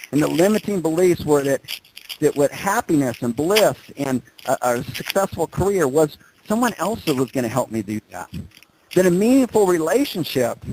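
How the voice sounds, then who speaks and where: A man's voice: a little high, darkish tone, a little hiss or hum, rough recording. A man giving a motivational presentation, telling stories informally and repeating phrases for emphasis. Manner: informal, conversational storytelling. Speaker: motivational speaker.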